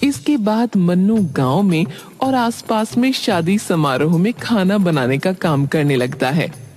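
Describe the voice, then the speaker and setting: Cartoon story narrator, mid-pitched voice, scripted storytelling, background music. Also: expressive, a little slow, a little mellow.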